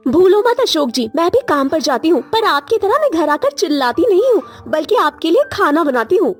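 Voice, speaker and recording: A woman's voice: extremely high pitch, very animated, fairly quick, soft background music. A woman voicing a feisty, scolding young wife in a dramatic cartoon moral story, indignant in manner. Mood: irritated retorting.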